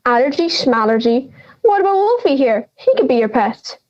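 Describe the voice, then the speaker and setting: A woman's voice: sits high, sing-song, moderate pace, a little mellow, lo-fi sound. A young woman playing a feisty, blunt granny of ninety in an audio drama, scolding and sarcastic. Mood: teasing. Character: feisty, blunt ninety-year-old granny living in the woods.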